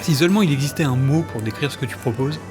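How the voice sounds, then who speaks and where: A man's voice: mid-range voice, fast, over music, lightly acted. A man playing a cheerfully clueless time-travelling sidekick in a comedic audio drama, casual and flippant.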